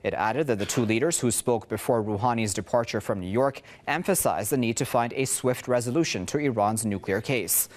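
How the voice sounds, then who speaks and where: A man's voice: mid-range voice, fairly quick, few pauses. A man presenting the news, reading reports and putting questions to a guest in a broadcast style.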